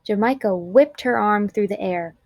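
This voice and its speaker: A woman narrating a children's storybook aloud in an expressive, clearly enunciated storytelling manner. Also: mid-range voice, moderate pace, no echo.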